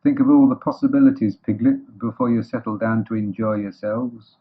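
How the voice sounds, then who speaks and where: A man voicing a gloomy, dignified storybook donkey in a deadpan, sardonic, ponderous manner. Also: mid-range voice, normal speed, breathy edge, lightly acted. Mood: dry, aloof. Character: gloomy, sarcastic, dignified male donkey, coldly resigned. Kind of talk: children's storybook character voice. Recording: thin phone-like, muddy old recording.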